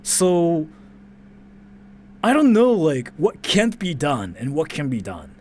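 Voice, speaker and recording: A Japanese man speaking English with a Japanese accent, his voice a bit higher than most men's and swooping widely up and down as he talks. He rambles through casual reaction chat, leaning heavily on fillers as he goes. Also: wildly swooping pitch, slow, slight background noise. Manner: rambling, lots of filler "like", drifting pitch. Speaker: streamer reacting to a video.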